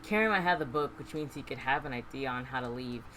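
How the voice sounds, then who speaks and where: A woman's voice: rumbling low, fast talker, a bit bright. A woman hosting a let's play, reading a game's narration aloud with casual commentary. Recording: faint background noise.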